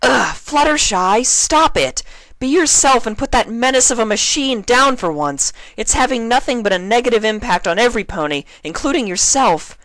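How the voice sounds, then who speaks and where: A man voicing a distressed young female cartoon pony in a screechy, desperate shout. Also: very high voice, expressive, moderate pace, no echo, pitched above the performer's own voice, exaggerated voice. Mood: indignant, pleading.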